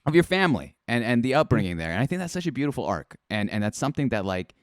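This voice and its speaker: An energetic young man in his twenties hosts a live-stream interview. His voice comes through smooth and resonant, recorded close and dry with no room sound. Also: medium pitch, fast, no echo. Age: 20s. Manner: energetic, upbeat, addresses the chat. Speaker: host.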